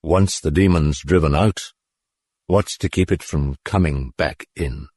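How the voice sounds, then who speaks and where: A man voicing a shaken young priest in an audiobook, hushed and tense. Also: rumbling low, measured pace, dry recording, clean studio sound.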